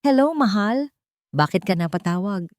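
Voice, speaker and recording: A woman's voice: medium pitch, animated, normal speed, a little mellow, dry recording, voice pushed up, natural voice. A woman narrator acts out a wronged young woman from an audio drama. She is bitter and hard, keeping her anger on a tight leash. The sound is dry and close. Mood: forced sweetness, bitter. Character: betrayed, seething young woman confronting her fiancé.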